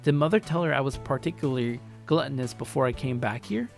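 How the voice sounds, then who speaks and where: A man narrating a first-person story aloud in an expressive way, now and then stumbling over his words. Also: mid-range voice, fast talker, gravelly. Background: faint music.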